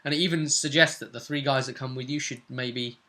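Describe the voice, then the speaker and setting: Guy game master chatting through a tabletop session recap, bright forward voice, lots of "isn't it" style tags. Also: mid-range voice, fairly even intonation, fairly quick. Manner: chatty, conversational storytelling with tag questions. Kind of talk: casual recap of a tabletop role-playing session.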